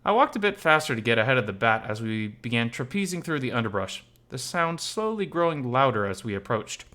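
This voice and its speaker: A man's voice: mid-range voice, sing-song, brisk, sharp tone. A man narrating a visual novel aloud in the first person, reading expressively.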